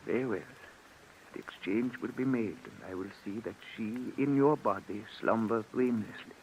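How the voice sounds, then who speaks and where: An actor playing a sinister, courteous dealer in strange bargains, formal and measured, in old-time radio drama style. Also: male voice, lowish voice, creaky, a little mellow, a little hiss or hum. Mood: coolly ominous. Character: sinister, courteous male dealer in body exchanges. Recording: muffled, distant vintage radio recording.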